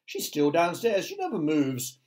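A man voicing an old male green grasshopper in a children's story, sharp, emphatic and avuncular. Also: a little high, huge pitch swings, a little slow, pitched above the performer's own voice. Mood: brisk, excited.

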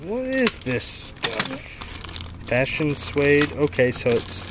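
Unhurried man casually rummaging, noisy recording. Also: fairly high pitch, very animated. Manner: short exclamations and questions, talking while rummaging. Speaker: vlog host. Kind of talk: casual unscripted unboxing commentary. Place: storage unit. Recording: clear background noise with handling clicks.

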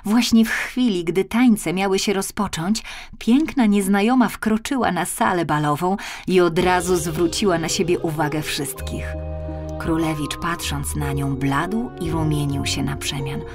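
A woman reads a children's fairy tale expressively. Her voice sits a little low, and music plays behind the narration. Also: a little slow, darkish tone. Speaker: audiobook narrator.

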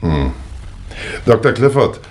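An actor playing a methodical, polite but firm police inspector, questioning formally in 1960s German radio drama style. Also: male voice, fairly low pitch, very animated, takes it slowly. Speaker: actor in a crime radio play.

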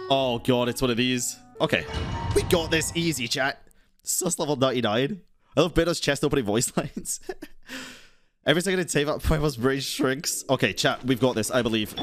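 A man in his thirties giving playful, slangy live gameplay commentary and chatting to his viewers. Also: mid-range voice. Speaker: video game streamer. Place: indoor room at a streaming setup. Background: game music and sound effects.

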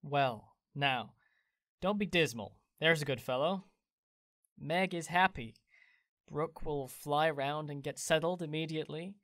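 An actor playing a cheerful, devoted young gentleman in an audio drama, playful in manner. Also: on the high side, measured pace, dry recording, studio quality.

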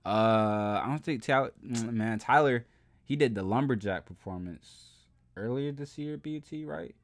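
A man's voice: mid-range voice, normal speed. A young man casually reacting to a music performance, rambling and chatty. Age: young adult. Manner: chatty, rambling, frequent "you know what I'm saying". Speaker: reaction video host. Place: indoor room, webcam overlay on video.

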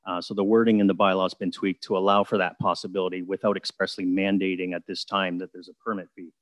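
A man with a lower voice presents a bylaw to council over a video call. He talks in a measured, procedural way, with many fillers and restarts. The sound is dry and close. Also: little pitch movement, no room sound. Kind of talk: formal council meeting presentation.

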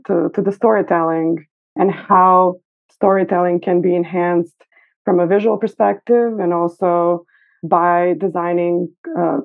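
Woman over a tinny phone-like line. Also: lowish voice. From Serbia. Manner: thoughtful, hesitant with fillers. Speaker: guest costume designer. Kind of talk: casual interview. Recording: phone-like remote call.

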